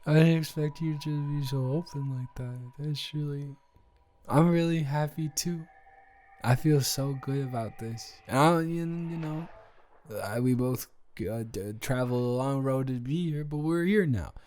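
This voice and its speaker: A man's voice: a little high, unhurried, darkish tone, close to an everyday voice. A man playing a drunk young roommate sincerely confessing love in an intimate roleplay audio drama, stammering and rambling as he speaks to the listener. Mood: teasing, tender, then relieved, affectionate. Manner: stammering, rambling, repeating words, tipsy.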